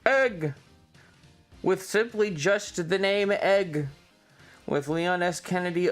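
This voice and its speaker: A man chatting casually as a livestream host, sweary and self-deprecating, sounding slightly tired. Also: medium pitch. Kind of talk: casual rambling stream chat.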